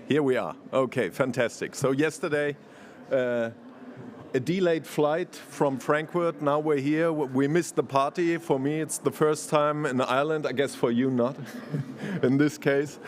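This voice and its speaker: A man from Germany giving a conference presentation, halting and full of restarts, laughing easily. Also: medium pitch, steady tone. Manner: hesitant, many fillers and restarts, laughs easily. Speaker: conference speaker.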